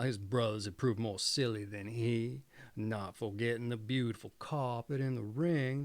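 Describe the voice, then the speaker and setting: A man's voice: medium pitch, gravelly, a little mellow, dry recording. A man reading a fairy tale aloud as a storyteller.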